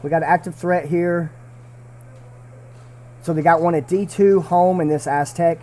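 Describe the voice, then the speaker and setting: A man's voice: a little high, fairly even intonation, normal speed, darkish tone, slight background noise. A man giving casual live commentary on an airsoft match, repeating himself and restarting phrases as he talks. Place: small side room near the field. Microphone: shared desk mic.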